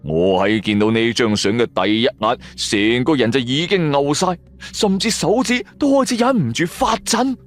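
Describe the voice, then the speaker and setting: A man with a Cantonese accent narrating a radio drama in the first person, with colloquial, theatrical delivery. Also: mid-range voice.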